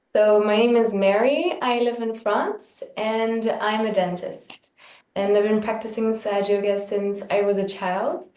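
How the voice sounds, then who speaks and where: A woman's voice: mid-range voice, steady tone, unhurried, darkish tone. A woman briefly introducing herself on a video call, a little hesitant, speaking from away from the microphone. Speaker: meditation presenter. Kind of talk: self-introduction. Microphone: video call, away from the mic. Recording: echoey room, far from the mic.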